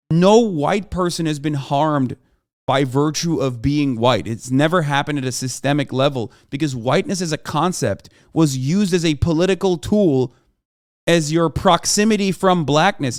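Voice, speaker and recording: A man's voice: a little high, no echo, clean studio sound. Emphatic male streamer lecturing his chat. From Turkey. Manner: emphatic, repeats "okay?" and swears for emphasis. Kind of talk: livestream commentary lecturing chat.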